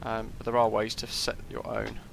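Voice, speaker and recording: A man's voice: mid-range voice, monotone, moderate pace, gravelly, noisy background. A young British man casually commenting on a live flight sim stream, rambling with lots of fillers and answering chat.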